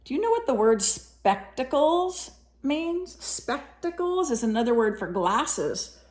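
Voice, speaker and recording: Higher-voiced woman reading a picture book to children. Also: slow pace. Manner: animated, child-directed, explaining and questioning. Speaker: storyteller, teacher reading to children. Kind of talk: expressive picture-book read-aloud with questions to young listeners.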